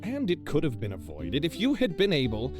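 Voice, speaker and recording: A vain town protector from a video game, grandiose and theatrical. He talks down to everyone in a slightly high voice with huge swings of pitch. Music plays clearly behind him. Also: huge pitch swings, a little fast. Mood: smug, scolding. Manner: grandiose, condescending, self-important. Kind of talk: video game character acting.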